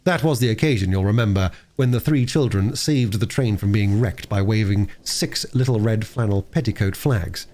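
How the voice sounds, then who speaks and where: A man narrating a story as an audiobook reader, with expressive delivery. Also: lowish voice, normal speed, hardly any pauses, a little hiss or hum.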